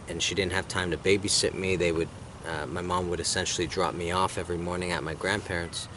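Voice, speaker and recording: A man in his thirties, whose first language is Greek, talking in a personal vlog in a soft, reflective way that often trails off. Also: low voice, background noise. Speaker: vlogger.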